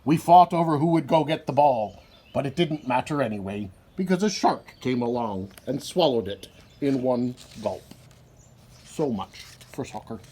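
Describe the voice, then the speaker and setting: Male children's story reader, husky voice, expressive and swooping, unhurried picture-book delivery. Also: mid-range voice.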